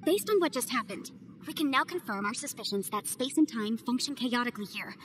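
An actress voicing a sharp young woman advisor in a game cutscene, brisk and earnest. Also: fairly high pitch, lively intonation, slight background noise, lightly acted. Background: game music.

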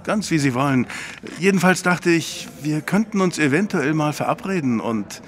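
An actor playing a flustered, persistent suitor of about fifty in an audio drama, speaking haltingly and earnestly. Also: male voice, fairly high pitch, lively intonation, fairly bright tone. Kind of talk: German audio drama acting.

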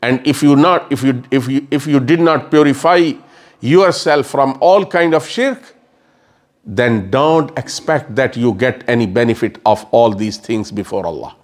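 A man's voice: mid-range voice, unhurried. A middle-aged man giving a sermon lecture in a mosque, explaining earnestly and repeating phrases as he gestures, into a stand mic on a table. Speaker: religious scholar. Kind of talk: sermon lecture with Quran recitation. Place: mosque lecture room, indoors.

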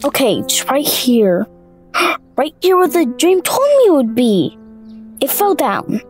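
A woman playing an uneasy dreamer haunted by a ghost, talking to herself haltingly. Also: high-pitched, sing-song, faint music behind, heavily acted. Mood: anxious. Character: uneasy dreamer drawn to mend a ghost's gravestone.

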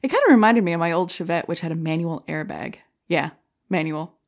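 A woman's voice: fairly low pitch, brisk, lightly acted. An actress playing a brash, self-deprecating female stand-up comic, delivering jokey rhetorical setups and punchlines in an audio drama. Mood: wry, joking. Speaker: comedy contest contestant.

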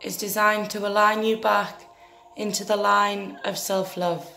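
A woman leading a guided meditation in a gentle, soothing, deliberate manner, leaving long pauses between phrases. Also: medium pitch, flat delivery, gravelly, slight background noise. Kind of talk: guided meditation, scripted narration. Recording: roomy echo with faint music behind.

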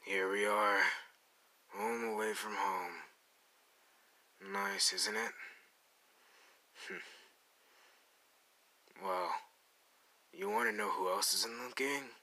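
A man playing a cool, menacing young anime villain in an ASMR roleplay, drawling and teasing in a deadpan, gravelly way. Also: lowish voice, takes it slowly, pauses often, full character voice. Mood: cool, inviting. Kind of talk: ASMR roleplay addressed to the listener.

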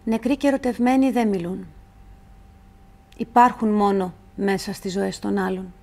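A woman reciting literary poetry in a measured, deliberate way, with pauses between phrases. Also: medium pitch, fairly even intonation, darkish tone. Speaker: poetry reader.

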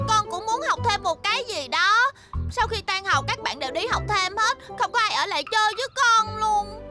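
A woman's voice: very high-pitched, lively intonation, moderate pace, loud music behind the voice. A woman voicing a cheeky, spirited little schoolgirl who loves to play, in a children's cartoon dub. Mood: pleading, whiny.

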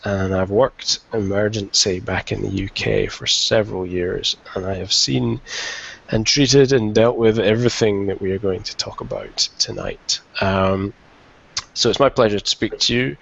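A man around forty, a vet, giving a relaxed, chatty webinar with lots of ums and restarts, over dull lo-fi audio. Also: on the low side, vocal fry, husky. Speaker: veterinarian presenter.

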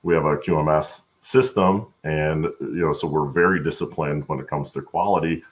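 Super deep gravelly guy, middle aged, halting explainer on a video call interview. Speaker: guest, supply chain expert. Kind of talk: interview answers, explaining work practice. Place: room at home, on a video call.

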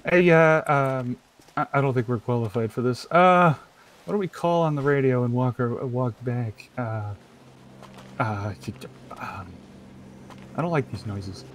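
A man's voice: mid-range voice, a little slow, slight background noise. A man improvising in-character chat in a roleplay game, stammering and repeating his words. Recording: loud music behind.

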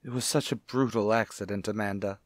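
A man's voice: on the low side, fairly even intonation, brisk, no room sound, studio quality, close to an everyday voice. An actor playing an anguished, self-pitying widowed father in an old-time radio play, melodramatic and distraught. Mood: grieving, evasive.

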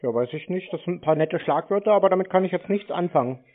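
A man's voice: sits high, expressive, quick pace. A man being obstinate and querulous in an argumentative phone conversation. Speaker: scam-baiting call recipient. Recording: narrow, tinny phone sound.

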